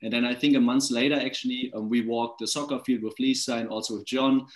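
A man in his twenties from Germany with a German accent, speaking as a guest on a video call, relaxed with many fillers and restarts. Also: medium pitch, quick pace, reverberant. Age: 20s. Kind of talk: casual video call talk. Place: small indoor room at home. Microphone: laptop webcam on video call.

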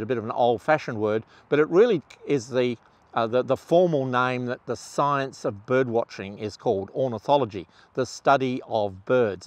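An older man, an ornithologist talking to camera outdoors on a lapel mic in a relaxed, conversational way with fillers. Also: mid-range voice, no echo. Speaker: ornithologist and presenter. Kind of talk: explainer talk to camera. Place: outdoors among trees.

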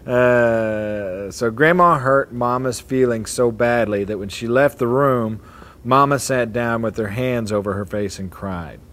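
A man's voice: mid-range voice, unhurried, a bit bright. Man reading family stories aloud. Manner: reads plainly, breaks off to chuckle and comment. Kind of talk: casual reading aloud to relatives. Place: hotel atrium at a family reunion.

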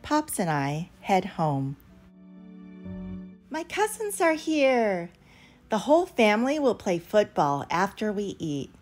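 A woman's voice: mid-range voice, very animated, takes it slowly. A woman reading a picture book aloud expressively for young children, as a storyteller. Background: background music.

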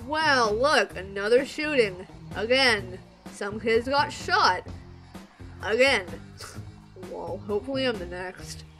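A woman's voice: fairly high pitch, lively intonation, slow, fairly bright tone, soft background music, rough recording. A woman voicing a sardonic cartoon teen boy in a fan dub of comic strips, making dry, sarcastic remarks.

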